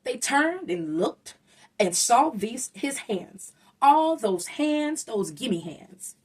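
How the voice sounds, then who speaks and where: A woman's voice: fairly high pitch, very animated, takes it slowly, breathy edge, far from the mic. A woman reading a rhyming children's story aloud, expressively and with dramatic emphasis. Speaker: storybook reader.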